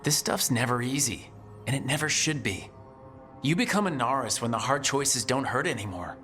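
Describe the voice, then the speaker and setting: Bright-voiced, wry adventurer hero. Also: male voice, medium pitch, animated, normal speed, faint music behind. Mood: earnest, supportive. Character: steady, wry, supportive male adventurer hero. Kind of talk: video game voice acting.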